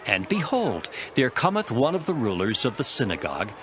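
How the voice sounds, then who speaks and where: A man's voice: medium pitch, wildly swooping pitch, brisk, background noise. A man narrating scripture as a dramatized story, in a dramatic storytelling manner. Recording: loud music behind the voice. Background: music.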